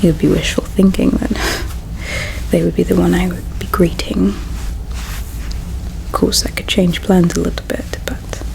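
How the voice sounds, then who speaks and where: Slow whispering woman in ASMR roleplay. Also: lowish voice, flat delivery, rough recording. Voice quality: breathy whisper with soft creak. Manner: slow, halting, hushed storytelling. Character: regretful alien princess, eldest sister, solemn and resigned. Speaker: ASMR performer.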